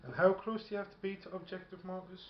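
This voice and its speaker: A man chatting casually and haltingly as he plays a tabletop wargame, thinking aloud. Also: high-pitched, fairly even intonation. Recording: roomy, dull, very noisy room recording. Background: radio playing in the background.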